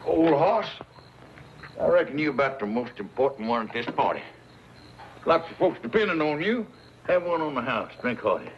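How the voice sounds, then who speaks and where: A man acting as a seasoned frontier scout with an American accent and a lively, swinging pitch. He is gruff, commanding and folksy, in radio western style. The recording is dull and old, with faint noise. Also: normal speed. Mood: fond.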